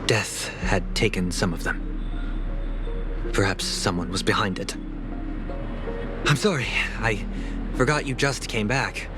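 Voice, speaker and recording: Low, solemn, refined patriarch. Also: male voice, expressive. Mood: grave. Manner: formal, measured, stern. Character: solemn, refined elder brother, cold, controlling family head. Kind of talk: video game voice acting. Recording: loud game music behind the voice. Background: loud music.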